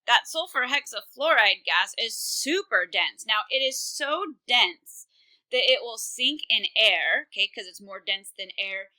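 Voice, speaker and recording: A woman's voice: on the high side, expressive, a little slow, bright, forceful tone, poor audio. A woman chemistry teacher giving a recorded online lesson, talking casually with many fillers while working problems on screen.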